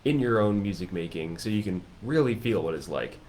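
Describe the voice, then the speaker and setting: A man hosting a casual chat, enthusiastically pitching a course and piling up lists and vivid comparisons. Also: lively intonation, brisk, a little mellow, a little hiss or hum. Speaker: music teacher and course host.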